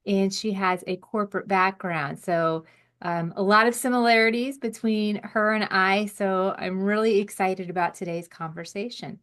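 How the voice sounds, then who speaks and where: Woman hosting a conversational interview show. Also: medium pitch. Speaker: podcast host, interviewer.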